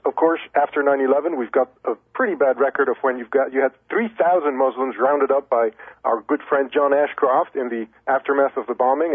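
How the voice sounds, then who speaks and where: A man from Lebanon, a journalist guest on a radio phone interview, explaining in a measured way with frequent restarts. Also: medium pitch, breathy edge, no echo. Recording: tinny phone line.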